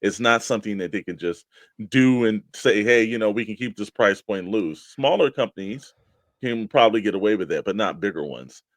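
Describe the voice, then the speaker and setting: A man's voice: lowish voice, animated, brisk, no echo. A man co-hosting a hobby show, talking casually and explaining his opinions at length. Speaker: co-host of a toy collecting show.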